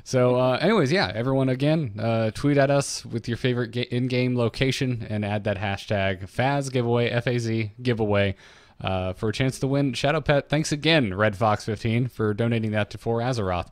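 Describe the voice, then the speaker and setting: A man's voice: mid-range voice, moderate pace, dry recording. A man co-hosting a podcast in an informal, casual chat style, with the odd mild swear. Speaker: podcast co-host.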